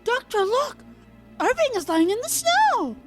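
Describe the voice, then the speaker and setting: Woman playing a very high-voiced earnest peasant girl. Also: big pitch swings, a little slow, exaggerated voice. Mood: alarmed. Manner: stilted, formal, without contractions. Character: earnest, anxious young medieval English peasant woman companion. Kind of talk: audio drama acting. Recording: loud music behind audio drama.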